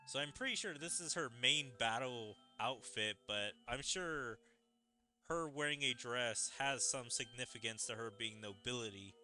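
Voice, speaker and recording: Slow-talking man musing over game music. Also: mid-range voice, bright, forceful tone. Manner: musing, speculative. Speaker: gaming video creator playing a story event. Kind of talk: casual gameplay commentary.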